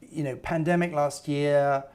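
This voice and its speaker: A middle-aged man hosting an interview, talking in a rambling, halting way full of restarts and enthusiastic asides. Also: fairly high pitch, steady tone, a little mellow, clear, resonant tone. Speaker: interview host. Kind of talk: conversational interview.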